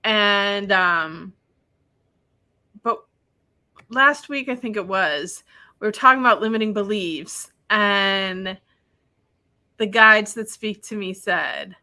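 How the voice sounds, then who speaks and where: A woman from Wisconsin, a guest telling stories in a lively, animated way. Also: mid-range voice, slow pace, pauses often. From Wisconsin, USA. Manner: animated storyteller who acts out other people's lines. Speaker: guest, spiritual practitioner. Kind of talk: casual conversation.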